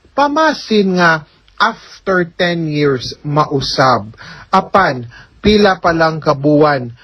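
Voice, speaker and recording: A woman's voice: lowish voice, no echo. A woman with a Cebuano accent hosting a radio advice show as an attorney, explaining things conversationally with frequent tag questions. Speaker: host, attorney giving advice. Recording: dull lo-fi audio.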